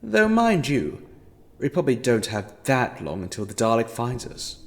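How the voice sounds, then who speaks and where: A man's voice: medium pitch, huge pitch swings, moderate pace. A man playing a grandiose, monologuing villain. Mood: condescending. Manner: grandiose, monologuing, mock-apologetic. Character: scheming, theatrical male villain who toys with a captive human. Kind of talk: fan audio drama acting.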